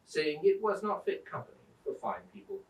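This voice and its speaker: A man reading an old tale aloud as a storyteller, in a theatrical, deliberate manner. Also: high-pitched. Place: indoors at a museum. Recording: roomy echo, distant microphone.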